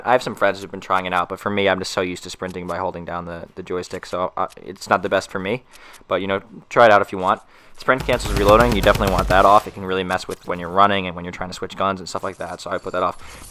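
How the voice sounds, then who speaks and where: A man's voice: deep voice, fairly bright tone, a little hiss or hum. A young man with a stuffy nose from a cold, talking loosely through a gaming tutorial voice-over with many fillers and restarts. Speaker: gaming YouTuber.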